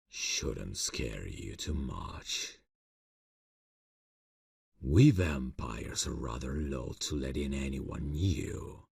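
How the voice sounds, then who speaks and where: A man playing an ancient, courtly vampire boss in an ASMR fantasy roleplay, seductive and quietly menacing, speaking formally and deliberately. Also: dry recording, clean studio sound, exaggerated voice. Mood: amused, probing, then solemn, confiding. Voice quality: very deep, gravelly, breathy. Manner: slow, formal, silky, deliberate. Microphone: close microphone, dry sound.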